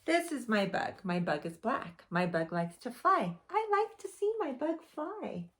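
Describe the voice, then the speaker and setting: A young woman teaching preschool, reading a picture book aloud to children in a lively storytime voice. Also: unhurried. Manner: animated storytime voice with big pitch swings.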